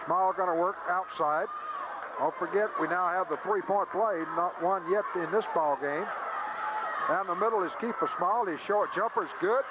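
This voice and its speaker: A man doing live radio play-by-play of a high school basketball game in clipped running phrases that grow excited on big plays, on old noisy tape audio. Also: normal speed. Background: loud gym noise.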